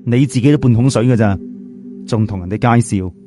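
A man's voice: medium pitch, brisk, dark tone, faint music behind, pitched below the performer's own voice, natural voice. A man voicing a confident, blunt jade gambler in a story, with a Cantonese accent and self-assured manner. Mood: scolding.

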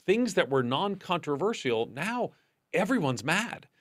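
A man's voice: sits high, sing-song, a little slow, fairly bright tone, no echo. A man in his fifties hosting a podcast interview in a studio, talking in a loose, conversational way with restarts. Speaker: podcast host. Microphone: desk boom mic.

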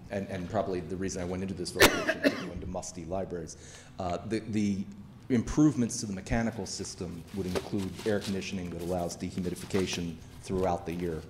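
Low-voiced man explaining at a town meeting. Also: background noise. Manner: measured, explanatory, with frequent fillers. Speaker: architect presenting library project. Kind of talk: public meeting presentation. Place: town hall meeting room, indoors. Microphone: podium microphone.

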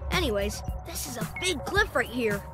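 A woman voicing an earnest young boy superhero leader in an animated video game, eager and declamatory. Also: sits high, normal speed, over music.

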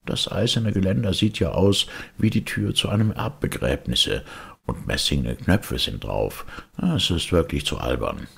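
Man as a gravelly, snooty grumbling house. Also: rumbling low, big pitch swings, unhurried, voice pushed up, heavily acted. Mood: indignant, scornful. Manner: indignant, haughty. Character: snooty disapproving new houses grumbling as neighbours.

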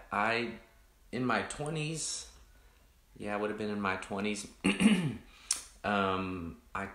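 A man, a pastor casually chatting with online viewers in a rambling, reflective way, his voice deepened by allergies. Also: fairly bright tone, echoey room. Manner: rambling, many restarts and fillers, greets viewers by name. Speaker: pastor hosting an online coffee chat.

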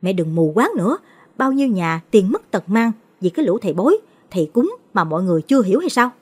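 A woman's voice: medium pitch, big pitch swings, quick pace, husky. A woman reading the part of a stubborn middle-aged man who scorns shamans. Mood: angry.

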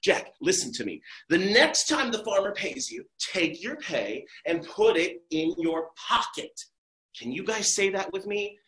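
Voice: mid-range voice, big pitch swings, measured pace, fairly bright tone, echoey room. Storyteller doing a scolding country mama. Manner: folksy, scolding.

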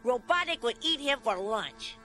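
A man's voice: very high-pitched, lively intonation, moderate pace, pushed and bright, heavily acted. A man playing a cocky, wisecracking young hedgehog hero in a cartoon, brash and sarcastic. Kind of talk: animated cartoon acting. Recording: music behind, old cartoon soundtrack.

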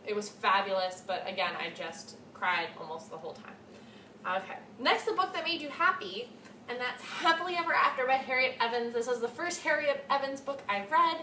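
A woman's voice: a little high, bright, forceful tone. A woman in her twenties chatting casually to camera about books, gushing and repeating words for emphasis, recorded in a room at home. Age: 20s. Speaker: book reviewer vlogger. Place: small cluttered room at home, indoors. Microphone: camera mic a short distance away. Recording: echoey room, distant mic, faint hiss.

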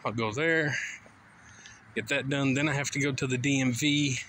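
A man's voice: medium pitch, steady tone, moderate pace, fairly bright tone, a little hiss or hum. A middle-aged man talking casually into a phone held at arm's length while walking outdoors down a suburban street, rambling along easygoing with lots of fillers. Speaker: vlogger. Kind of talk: casual walking vlog monologue.

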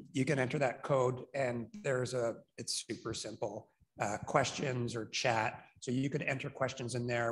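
Casual man moderating a conference panel. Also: mid-range voice. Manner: casual and joking. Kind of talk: event instructions. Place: conference room with large window. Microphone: handheld mic.

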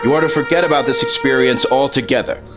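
An actor playing a stern, bossy FBI assistant director, authoritative, in 1950s radio drama style. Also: male voice, medium pitch, steady tone, fast, loud music behind the voice, close to an everyday voice.

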